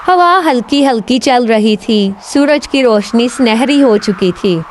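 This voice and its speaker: A woman's voice: on the high side, lively intonation, unhurried, slight background noise. A woman storyteller reading a scripted children's tale as narrator.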